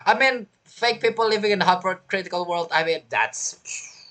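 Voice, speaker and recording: A man giving casual reaction commentary over a game as a streamer, blunt, jokey and halting. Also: high voice, bright, forceful tone, low-quality recording. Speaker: gaming streamer.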